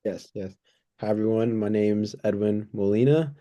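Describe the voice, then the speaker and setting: Very mellow, low-voiced young man, earnest. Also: highs cut off. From United States, born in El Salvador. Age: 20s. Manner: earnest, halting, thoughtful. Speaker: interview guest, pre-physical therapy student. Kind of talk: casual interview answers.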